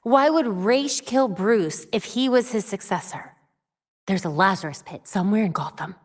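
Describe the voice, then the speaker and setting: A young woman with an American accent voicing a determined, quick-witted vigilante detective in a video game, focused and conversational. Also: medium pitch, expressive, no room sound, clean studio sound, close to an everyday voice. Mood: focused, businesslike.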